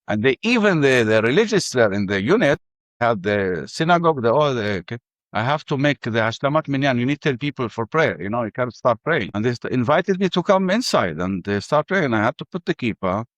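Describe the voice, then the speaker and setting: An older man with an Arabic accent telling his life story in halting English full of restarts. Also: medium pitch, big pitch swings, fairly bright tone, no room sound. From Ramallah. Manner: halting, many restarts and fillers. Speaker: interview guest. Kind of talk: personal testimony.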